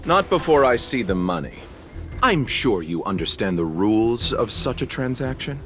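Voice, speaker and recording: Suave merchant with highly animated intonation. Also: male voice, normal speed. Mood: courteous, composed. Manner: polite, composed, theatrical. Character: suave, mysterious male merchant, courteous yet threatening. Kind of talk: video game voice acting. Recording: light game music behind.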